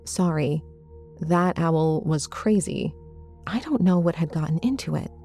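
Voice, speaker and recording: Woman acting an anxious, forceful protective daughter. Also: lowish voice, animated, fairly quick, faint music behind. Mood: uneasy. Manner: urgent, forceful, exasperated. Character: Fran, anxious headstrong daughter, fiercely protective.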